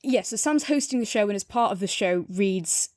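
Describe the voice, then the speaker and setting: A woman's voice: medium pitch, animated, normal speed, a little mellow, no room sound, clean studio sound. A woman co-hosting a podcast, chatting casually and enthusiastically as she gives a review. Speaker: podcast co-host reviewing audio drama.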